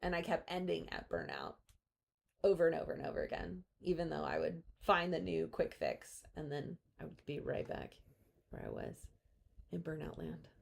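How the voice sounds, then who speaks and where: A woman's voice: deep voice, very animated, normal speed, creaky, a little hiss or hum. A woman in her thirties from Texas, hosting a podcast in casual chat, self-deprecating and giggly. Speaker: podcast host.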